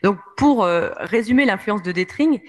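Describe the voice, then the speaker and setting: A woman's voice: medium pitch, expressive, normal speed, a little mellow. A woman with a French accent giving a history lecture from slides, hesitating often with fillers. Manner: many hesitations, frequent "euh".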